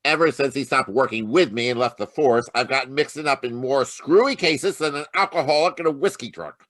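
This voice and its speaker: Man acting an exasperated, blustering police lieutenant, forceful. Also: medium pitch, a little fast, no echo, studio quality, natural voice. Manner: blustering, grumbling. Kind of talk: old-time radio detective drama acting. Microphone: video call.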